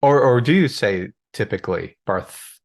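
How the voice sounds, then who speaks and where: A man's voice: mid-range voice, expressive, normal speed, vocal fry. A man in a playful, musing conversation as a guest on a video call, thinking aloud and joking lightly. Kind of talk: casual conversation.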